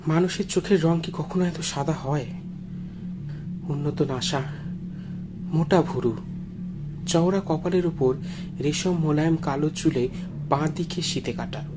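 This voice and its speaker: A man narrates a first-person story in a measured, suspenseful and expressive way. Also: a little high. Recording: music behind the voice. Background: music.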